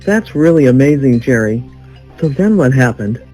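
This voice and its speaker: A man playing a composed, pragmatic newspaper boss with a measured delivery in an audio drama. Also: mid-range voice, expressive, a little mellow, soft background music, close to an everyday voice, low-quality recording. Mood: composed, dry.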